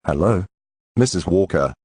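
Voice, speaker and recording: Man acting a deep, husky store manager. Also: lively intonation, moderate pace, dark tone, no echo, clean studio sound. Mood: excited. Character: fussy, eager department store manager, a man. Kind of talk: old-time radio drama acting.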